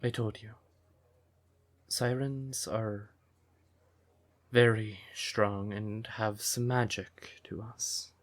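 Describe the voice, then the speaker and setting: A man playing a devoted, emotional siren boyfriend in an intimate fantasy ASMR audio roleplay, with a breathy, tender voice. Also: on the low side, fairly even intonation. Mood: tender, intense. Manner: tender, unhurried, emotive, with pet names. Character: devoted male siren nightclub singer, affectionate boyfriend, easily exasperated.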